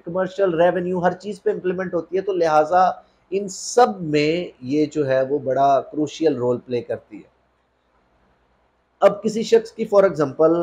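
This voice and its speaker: A man's voice: fairly high pitch, warm tone, poor audio. A man with a Pakistani accent lecturing on law in an explanatory, didactic way, mixing English phrases into his speech.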